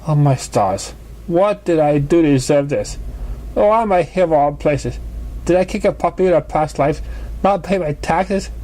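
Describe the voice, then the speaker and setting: Boastful lady pony magician for storybook reading, high and grandiose, played by a man. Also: expressive, measured pace, mellow. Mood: exasperated, self-pitying. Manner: theatrical, grandiose. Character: boastful, self-pitying female show-magician pony.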